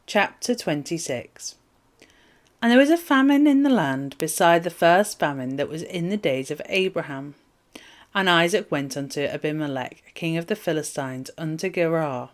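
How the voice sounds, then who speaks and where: A woman reading scripture aloud as formal narration, in measured, solemn phrases. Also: low-pitched, lively intonation. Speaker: Bible reader.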